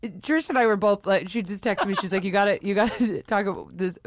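A woman co-hosting a casual chat, brisk and enthusiastic as she steers the talk. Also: mid-range voice, no echo, studio quality. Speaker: podcast co-host.

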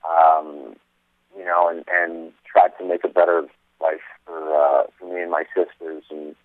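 A man's voice: deep voice, little pitch movement, unhurried, no room sound. A man from Lebanon, an actor talking as a guest by phone, upbeat and chatty with lots of fillers. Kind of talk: phone interview. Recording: phone-line audio.

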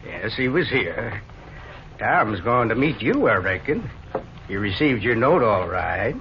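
An actor playing a nosy, folksy cafe owner in a 1950s radio western, chatting haltingly. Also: male voice, lowish voice, very animated. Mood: sheepish, chatty. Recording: muffled, hissy 1950s radio recording.

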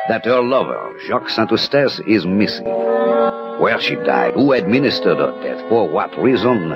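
An actor playing a grave, probing detective in a radio drama, formal, deliberate and coolly authoritative. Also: male voice, low-pitched, full character voice. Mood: solemn. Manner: formal, deliberate, terse questioning. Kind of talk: 1960 radio drama acting. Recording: dull old radio broadcast with music.

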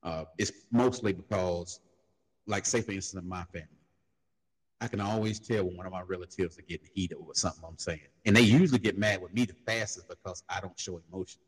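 A man talks in an easy, laid-back way and tells street stories. His voice sits low and a little husky. A long reverb trails behind every phrase. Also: fast. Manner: laid-back storytelling, slips into loud high mocking voices when quoting others. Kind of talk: casual panel discussion.

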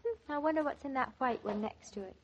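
An actress playing a playful, teasing young newlywed wife in a 1940s radio comedy-drama. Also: female voice, high-pitched, brisk, slightly rough. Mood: coaxing. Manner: teasing, mock-indignant, coaxing. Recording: dull 1940s radio transcription recording.

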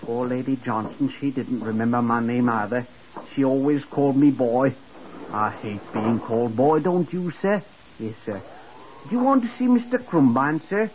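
A man's voice: mid-range voice, moderate pace, darkish tone, close to an everyday voice. An actor playing a naive, talkative hotel lift boy from Lancashire, eager and deferential, in a 1950s radio drama. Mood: eager, chatty. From Blackpool, Lancashire, England. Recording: muffled, hissy 1950s radio recording.

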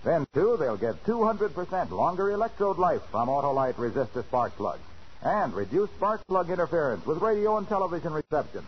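An actor playing a jovial, eager pitchman in a florid, enthusiastic 1940s radio advertisement. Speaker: commercial announcer. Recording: dull, faintly noisy old radio broadcast.

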